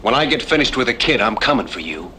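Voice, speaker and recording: A man's voice: mid-range voice, very animated, fast talker, sharp tone. A man playing a weary, determined cowboy guardian in an old-time radio western, with grave, folksy speech. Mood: grim. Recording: faintly noisy old radio recording.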